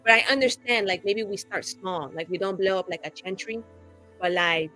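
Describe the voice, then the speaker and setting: Woman playing a brash, bright-voiced young revolutionary mage. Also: medium pitch, moderate pace, faint music behind, lightly acted, rough recording. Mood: scheming, fired up. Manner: theatrical, self-important, casual slangy phrasing. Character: brash young female mage revolutionary, blunt, grandiose troublemaker.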